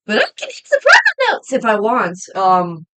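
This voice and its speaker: A woman's voice: on the high side, huge pitch swings, normal speed, pushed and bright. Rambling, hesitant young woman streamer. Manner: rambling, hesitant, many ums and restarts. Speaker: livestreamer and hobby voice actor. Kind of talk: casual livestream chat while drawing.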